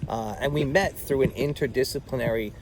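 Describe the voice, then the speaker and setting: A man hosting an interview outdoors in a garden, talking in a rambling, animated way. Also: mid-range voice, brisk, slight background noise, low-quality recording. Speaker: show host. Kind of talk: conversational interview.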